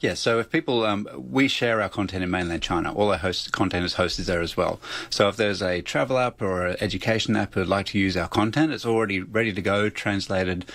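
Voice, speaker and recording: Low-voiced, fast-talking Australian man. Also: a bit bright, no room sound. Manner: poses rhetorical questions and lists points. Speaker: startup founder being interviewed. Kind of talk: explanatory interview answers.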